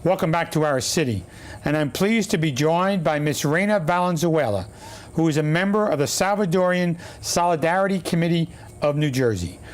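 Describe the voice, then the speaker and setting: A man hosting a local city talk show, with a voice that sits a bit higher than usual. He reads community announcements in a measured, formal way, with the odd filler. He sounds like a studio recording. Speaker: mayor and show host.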